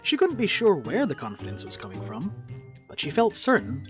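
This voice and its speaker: Expressive male narrator, very lively range. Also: a little high, brisk, music in the background. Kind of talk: scripted dramatic narration.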